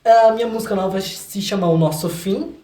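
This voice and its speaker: An echoey room carries the voice of a young Brazilian man with a fairly flat, light delivery. He chats casually as an interview guest, informal and a little self-deprecating about himself. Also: sits high, brisk. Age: young adult. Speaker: interview guest, singer-songwriter. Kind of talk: casual chat.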